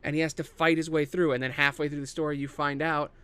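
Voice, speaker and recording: A man with a fairly high voice talks through ideas alone as a podcast host. His delivery is casual and unscripted, thinking out loud, cutting himself off and swearing freely as he goes. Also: level tone, fast, clear, resonant tone, dry recording. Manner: rambling, thinking aloud, self-interrupting; casually profane. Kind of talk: casual improvised solo monologue pitching film adaptations.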